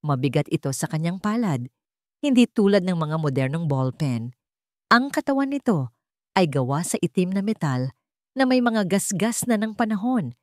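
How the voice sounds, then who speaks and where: A woman's voice: fairly low pitch, a little mellow, no echo, studio quality. A woman with a Filipino accent, narrating a scripted love story in a dramatic storytelling style.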